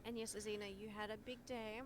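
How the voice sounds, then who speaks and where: A woman's voice: fairly high pitch, little pitch movement, fast talker, noisy background. A woman hosting a live gaming stream, chatting informally and playfully with friends, with some swearing. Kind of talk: casual gaming stream chat.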